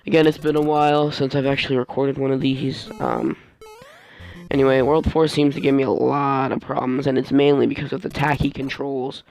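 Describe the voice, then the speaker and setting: Male gaming host, gravelly fry, mid-pitched, rambling casual voice-over, game music behind. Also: flat delivery, a little mellow, low-quality recording. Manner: rambling, halting, often losing his train of thought. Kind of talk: casual let's play voice-over commentary.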